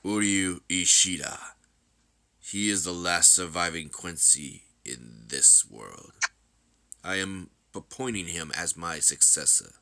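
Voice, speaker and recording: A man playing a solemn, imperious emperor in a game story, speaking with slow, commanding gravity. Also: deep voice, animated, creaky, bright, forceful tone, exaggerated voice.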